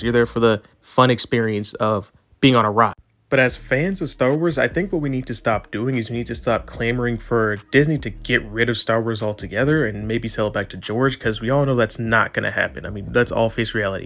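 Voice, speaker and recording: A man with a stuffy, nasal voice from allergies, giving earnest, rambling opinion commentary with frequent restarts. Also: mid-range voice. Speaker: video commentator.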